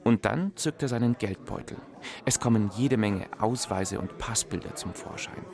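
A man narrating a radio documentary in a measured, clear storytelling manner. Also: lowish voice, darkish tone. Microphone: close studio mic.

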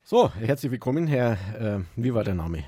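A man playing a fussy, sceptical bank funding officer in a halting, officious manner, in a radio satire sketch. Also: mid-range voice, very animated, mellow, slightly rough, no echo, studio quality. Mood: officious, distracted. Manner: halting, officious, full of hesitations.

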